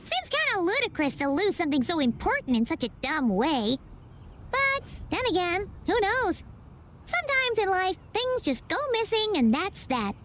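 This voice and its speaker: A woman's voice: big pitch swings, normal speed, fairly bright tone, slight background noise, full character voice. A woman voicing a tiny, chatty, exasperated childlike fairy companion in an anime-style video game. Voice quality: squeaky, childlike. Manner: speaks of herself in third person. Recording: game music under the voice.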